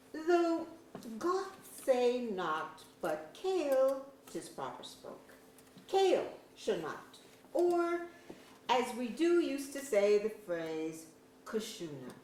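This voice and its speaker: A woman playing a friendly, devoted elf ambassador in an archaic verse drama, expressive in delivery. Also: high-pitched, full character voice. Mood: cheerful. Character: friendly, doting elf ambassador befriending a captive. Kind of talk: staged reading of pseudo-Elizabethan verse drama. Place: museum auditorium, live reading. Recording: echoey auditorium with background hiss.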